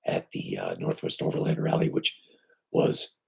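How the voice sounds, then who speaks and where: A man with weak, breathy and raspy vocal cords, hoarse and short of breath, hosting a casual live stream from home on his computer and talking haltingly with many fillers. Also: very deep voice. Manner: halting, with many fillers and restarts, lively when greeting viewers. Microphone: computer microphone.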